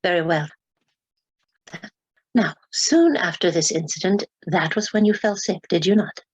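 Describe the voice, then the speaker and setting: An actress playing a formal, insistent questioner in a radio play, speaking with deliberate precision. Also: female voice, on the low side, breathy edge, lo-fi sound. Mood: probing. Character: probing, insistent, formal female interviewer.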